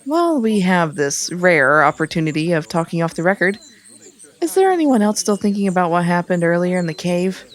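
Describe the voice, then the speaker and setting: A woman's voice: lowish voice, big pitch swings, fairly quick. A woman playing a dry-humoured soldier in an audio drama, casual and bantering with her squad. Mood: uneasy.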